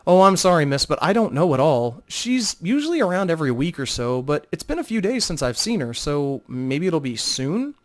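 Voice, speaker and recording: A man putting on a hesitant, high-pitched innkeeper woman. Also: sing-song, normal speed, hardly any pauses. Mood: hesitant, helpful. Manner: hesitant, deferential. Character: polite, apologetic woman innkeeper in a fantasy tavern. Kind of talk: dramatic fantasy audiobook reading.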